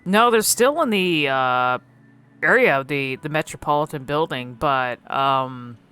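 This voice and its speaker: A woman giving casual, unscripted game commentary, thinking aloud haltingly and swearing freely. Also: low-pitched, lively intonation, a bit bright, clean tone.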